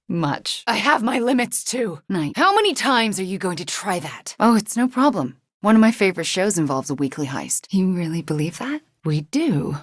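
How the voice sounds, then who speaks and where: A woman voicing a young sorceress heroine in a video game, guilt-ridden but earnest and wry, with a soft, hesitant, sincere way of talking. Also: mid-range voice, very animated, moderate pace, husky, no echo, studio quality. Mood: earnest, lightly wry.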